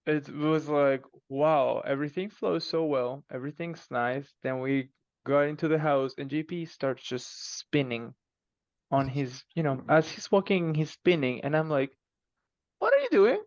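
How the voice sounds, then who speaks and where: A man's voice: on the high side, lively intonation, a little slow, dry recording. Man in a slightly dull, dry recording. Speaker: guest fiction author. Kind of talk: casual podcast interview.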